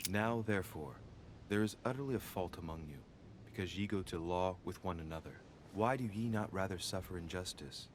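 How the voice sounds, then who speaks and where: An actor playing a brooding young man in a video game, investigating clues and deliberately musing aloud to himself. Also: close to an everyday voice. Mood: grave, pondering. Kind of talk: dramatic video game acting, reading aloud. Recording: low rumbling background noise.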